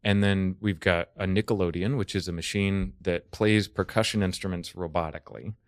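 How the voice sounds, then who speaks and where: A man's voice: low voice, fairly even intonation, normal speed, dry recording, studio quality. A man in casual podcast chat, explaining things and giving his opinions.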